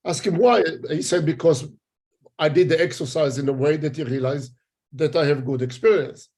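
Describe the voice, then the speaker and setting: An older man with an Israeli accent, answering interview questions in halting English, often searching for words. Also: a little high, a little mellow. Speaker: guest. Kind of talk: interview conversation.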